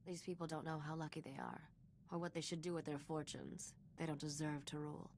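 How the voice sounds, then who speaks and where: A woman's voice: low-pitched, level tone, a little fast, darkish tone, slight background noise. A woman voicing a worldly, sardonic young smuggler's companion in a video game, dry and self-possessed. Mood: cynical.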